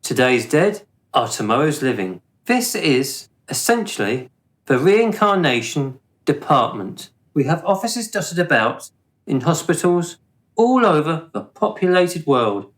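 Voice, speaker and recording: A man acts a smug, officious bureaucrat of the afterlife in a radio play. He talks slowly and deliberately, condescending and drily mocking, with an expressive, swooping pitch. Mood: amused, self-important.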